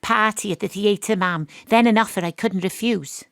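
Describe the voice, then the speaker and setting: A woman's voice: mid-range voice, moderate pace, creaky, a bit bright, dry recording. An actress playing a wry, hard-boiled woman detective, narrating in noir style and trading dry lines. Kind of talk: noir first-person narration and dialogue. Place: home studio.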